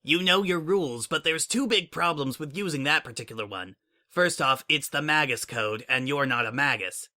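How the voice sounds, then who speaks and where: A man's voice: on the high side, moderate pace, sharp tone. A man voicing a brash, smug female pony spy in a fan audiobook reading, speaking in a flippant, mocking way.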